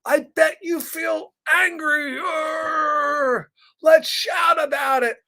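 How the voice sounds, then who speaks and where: A man voicing a big, hairy, kind-hearted storybook bear who roars and shouts in indignation on a friend's behalf. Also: very high voice, steady tone, drawn-out words, vocal fry, voice pushed up, full character voice. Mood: angry, roaring. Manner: loud roaring and shouting.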